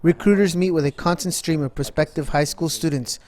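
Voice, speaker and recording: A man reads scripted documentary narration in an even, formal way. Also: fairly high pitch, fairly quick.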